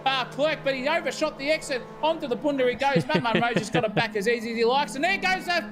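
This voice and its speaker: An Australian man commentating a sim race, excitable and exclamatory, swearing freely. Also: very high-pitched, fast. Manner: shouty, exclamatory, swears casually.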